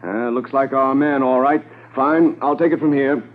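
An actor playing a brisk, commanding senior Mounted Police officer in a 1950s radio drama, crisp and authoritative. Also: male voice, medium pitch, steady tone, lightly acted. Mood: decisive, satisfied. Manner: crisp, authoritative, giving orders. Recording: narrow, noisy 1950s radio transcription.

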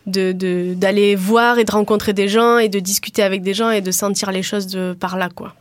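A woman's voice: mid-range voice, fairly even intonation, normal speed, no echo. A woman in her thirties, a guest coordinator in a casual radio interview, thinking aloud with many restarts and fillers. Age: 30s. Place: radio studio.

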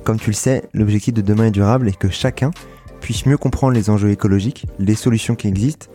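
A man's voice: fairly low pitch, dark tone, soft background music. A man reading a scripted podcast call-to-action, speaking directly to the listener. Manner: brisk, direct address to listener. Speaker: podcast host. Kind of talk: scripted promo read.

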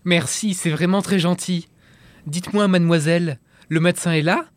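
A man's voice: high voice, steady tone, moderate pace, dry recording, lightly acted. A man playing a timid, henpecked village patient in a comic audio drama, speaking politely and hesitantly. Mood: meek, hesitant. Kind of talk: French comic audio fiction acting.

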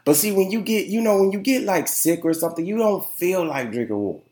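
A man's voice: high-pitched, lively intonation, brisk, a little mellow. A young man hosting a casual solo podcast, congested and nasal, rambling and chatty with lots of fillers. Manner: rambling and chatty, keeps asking "you know what I'm saying". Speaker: podcast host. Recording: roomy, echoey room sound.

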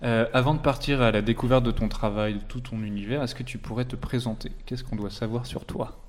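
A man's voice: mid-range voice, steady tone, fairly quick. A man hosting a casual interview, prompting his guest informally and finishing his sentences.

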